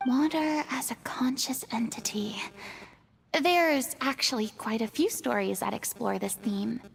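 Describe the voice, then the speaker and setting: An actress playing a thoughtful, gentle and composed young woman in a video game. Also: fairly high pitch, a little slow, creaky, soft background music. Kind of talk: video game dialogue acting.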